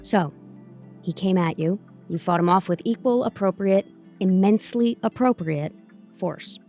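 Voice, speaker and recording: An actress playing a shrewd, cynical high-priced lawyer in an audio drama, with a firm, dry delivery. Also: female voice, a little slow, mellow, soft background music, lightly acted. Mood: coolly coaching. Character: shrewd, brisk, cynical, expensive female lawyer.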